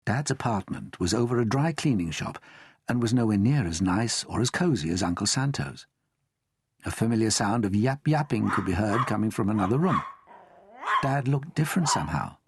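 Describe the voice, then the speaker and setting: Casting: British man, children's audiobook narrator, very varied intonation, slightly raspy voice. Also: lowish voice, sing-song, moderate pace, dry recording. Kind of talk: expressive scripted children's story narration.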